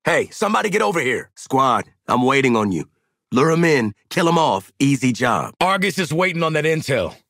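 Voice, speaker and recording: A male actor playing a sardonic, confident mercenary marksman in a video game, with an American accent, husky voice and blunt, commanding manner. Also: mid-range voice, big pitch swings, moderate pace, fairly bright tone, dry recording, studio quality. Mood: urgent, commanding. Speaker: squad fighter. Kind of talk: video game combat voice lines.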